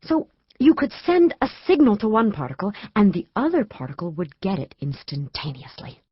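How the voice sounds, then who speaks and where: Anxious, educated scientist wife in audio drama: emotional, swooping delivery, slightly husky, close dry sound. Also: female voice, medium pitch, wildly swooping pitch, no echo, muffled recording. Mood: intent, explaining. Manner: emotional, halting, earnest. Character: distraught astrophysicist wife, anxious, educated, drinking, worried for husband. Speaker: actor in a radio play.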